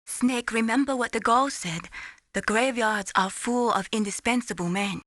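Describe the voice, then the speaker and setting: A woman playing a caring young Chinese-American radio support operator in a video game, earnest, encouraging and gently chiding. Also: a little high, normal speed, no echo, studio quality, natural voice. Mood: gently advising.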